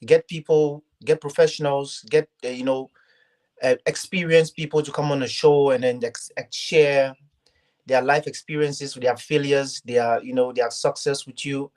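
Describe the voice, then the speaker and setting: A young man from Ghana, speaking as a guest on an online interview show. He talks earnestly and haltingly, restarting phrases as he goes, at a middle pitch. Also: fairly even intonation, a little mellow. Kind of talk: casual interview talk.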